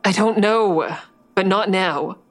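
A woman's voice: medium pitch, slow pace, dry recording, close to an everyday voice. An actress playing a guarded, stressed woman investigator who also narrates in a first-person audio drama. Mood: exasperated, pleading. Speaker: narrator and lead character.

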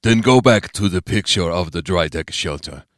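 A male narrator plays an old man in a thriller audiobook. He is menacing and commanding, with short, sharp phrases. The voice sits low, in a dry, close sound. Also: animated, normal speed, no echo. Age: older.

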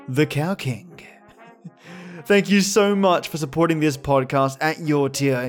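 A man hosting a podcast, presenting scripted introductions in a theatrical, playful manner. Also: a little high. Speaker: podcast host. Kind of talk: scripted introduction to old-time radio episodes.

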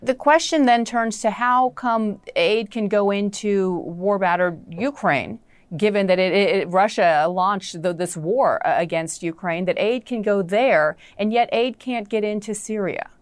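A middle-aged woman guest anchor hosting a news interview program, reading scripted lead-ins and questioning guests in a measured way. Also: medium pitch, dry recording.

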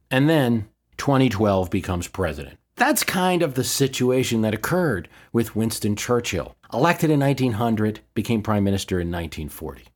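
An American man hosting a podcast, explaining and giving his views in a measured, emphatic solo commentary. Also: mid-range voice. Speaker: history and politics podcast host.

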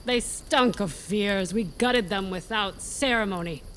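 A woman's voice: medium pitch, unhurried, a bit bright, slight background noise, natural voice, rough recording. An actress playing a proud, brutal warrior who reports formally to her queen in a fantasy audio drama. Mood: grim, proud.

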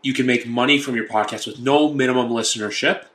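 A man's voice: medium pitch, fairly even intonation, quick pace, fairly bright tone. A man reading a scripted ad in an upbeat, polished way. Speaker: ad announcer. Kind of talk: scripted ad read.

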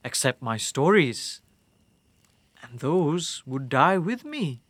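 A man's voice: a little high, sing-song, slow pace, fairly bright tone, husky, no room sound, full character voice. A man playing a sly captive storyteller in an audio drama, taunting and persuasive with a theatrical delivery. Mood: sly, intense. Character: sly, ancient male captive storyteller bargaining for life.

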